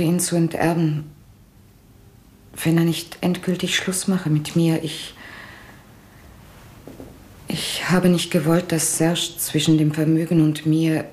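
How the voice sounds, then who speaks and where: An actress playing a married woman who has committed murder, in a 1970s radio drama, speaking with a Swiss German accent in a halting, subdued way. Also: deep voice, flat delivery, a little mellow.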